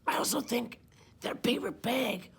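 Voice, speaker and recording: A woman's voice: fairly high pitch, measured pace, creaky, breathy edge, whispered. A woman chatting simply about a book in a casual discussion for children. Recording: noticeable background noise and ambience.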